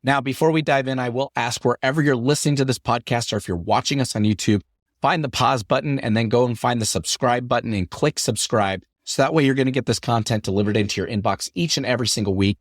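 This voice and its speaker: A middle-aged man hosting his podcast in an upbeat, chatty way, his voice deepened by a lingering cough after an illness. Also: fast, dry recording, studio quality. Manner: upbeat, chatty, repetitive phrasing. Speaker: podcast host. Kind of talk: solo podcast talk.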